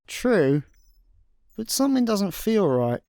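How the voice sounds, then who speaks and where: A man's voice: high voice, big pitch swings, slow, warm tone, no room sound, clean studio sound, full character voice. A man playing an easygoing, slightly more sensible slacker in a comedy sci-fi radio play, casual and hesitant. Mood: pondering.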